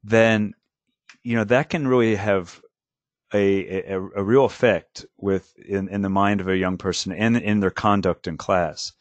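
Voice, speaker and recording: A male language teacher talking casually on a panel, halting with many restarts and fillers. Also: on the low side, fairly even intonation, no echo.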